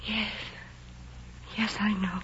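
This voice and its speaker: Conflicted countess spy, muffled old radio. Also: female voice, mid-range voice, little pitch movement, takes it slowly, a bit bright, slight background noise. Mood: anguished. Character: conflicted female spy, guarded countess in love. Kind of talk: 1940s radio melodrama acting. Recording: muffled 1940s radio recording with music.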